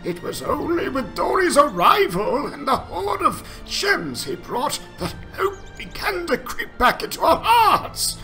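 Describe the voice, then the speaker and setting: Anxious dwarf longbeard from a fantasy game, really high pitched and plaintive, music behind. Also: male voice, sing-song, measured pace, few pauses, sharp tone, exaggerated voice. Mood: despairing.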